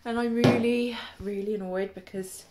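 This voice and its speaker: A woman's voice: on the high side, fairly even intonation, normal speed, very noisy. A young woman with a cold, chatting casually to her phone camera in her living room, rambling with lots of ums and likes. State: congested with a cold. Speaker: makeup vlogger. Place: home living room, indoors. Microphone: phone held at arm's length.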